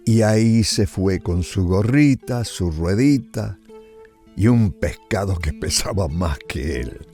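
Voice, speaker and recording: An elderly man with a northern Argentine accent tells stories in a low, mellow voice. He narrates like an author reading his own memories, adding small conversational asides. Music plays behind him. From Salta, northern Argentina. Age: 70s. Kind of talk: storytelling narration of family memories.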